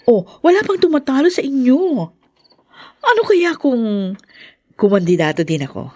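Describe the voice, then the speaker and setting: Woman playing spoiled ambitious drama heiress, high voice, swooping intonation, playful, dry close sound. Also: sing-song, moderate pace, darkish tone, no room sound. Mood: eager, coaxing. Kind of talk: melodramatic audio drama acting.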